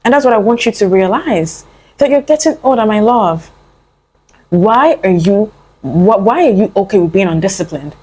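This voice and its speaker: A woman's voice: mid-range voice, lively intonation, normal speed, a little mellow, poor audio. A young adult woman vlogging a casual motivational talk, earnest and coaxing, rambling with many fillers and restarts as she pleads with her listener. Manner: earnest, coaxing, calls the listener "my love" and "honey".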